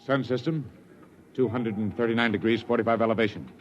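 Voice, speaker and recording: An actor playing a dutiful male starship officer giving crisp procedural reports in 1950s radio drama style. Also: medium pitch, fairly even intonation, fairly quick, close to an everyday voice. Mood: businesslike. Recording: muffled, slightly noisy vintage radio recording.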